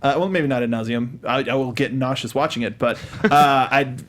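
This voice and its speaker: A young man acts as host of a casual podcast discussion. His voice sits at a middle pitch, picked up by a close studio mic in a small room. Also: moderate pace. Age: young adult. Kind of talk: casual panel chat. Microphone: close studio mic with headset.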